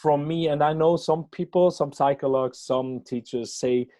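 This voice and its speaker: A man with a Swiss German accent and that first language, chatting casually and a bit haltingly as a podcast host. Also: fairly high pitch, fairly even intonation, warm tone, no echo, studio quality. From Switzerland. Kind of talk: casual interview chat.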